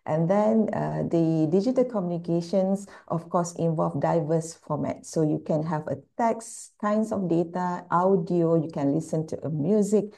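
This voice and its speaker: Female conference speaker, Malaysian accent, mellow tone with gravelly fry, explanatory lecture delivery. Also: on the low side, normal speed, few pauses. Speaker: university speaker at an online conference. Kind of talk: plenary presentation, lecture.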